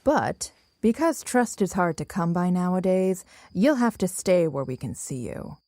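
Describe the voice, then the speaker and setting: A low, dry-recorded voice of a young woman survivor played by an actress in an audio drama. She is calm, guarded and commanding, speaking deliberately and with cool authority. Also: no room sound. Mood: businesslike.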